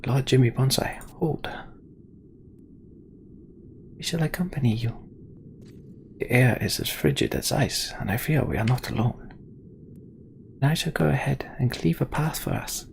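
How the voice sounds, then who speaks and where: A man's voice: medium pitch, measured pace, gravelly, pauses often, slight background noise, voice pushed up. A man voicing a solemn, chivalrous warrior in a fantasy video game, speaking formally and earnestly. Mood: grave, resolute. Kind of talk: acted reading of game dialogue.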